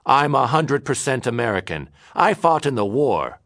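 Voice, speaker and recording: A man voicing a blustering, bigoted meat man who talks loudly and mockingly. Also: sits low, very animated, normal speed, no room sound, studio quality. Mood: angry. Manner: loud, mocking, boorish.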